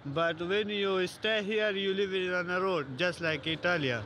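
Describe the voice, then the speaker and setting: A man's voice: high voice, unhurried, background noise. A man speaks blunt, clipped English.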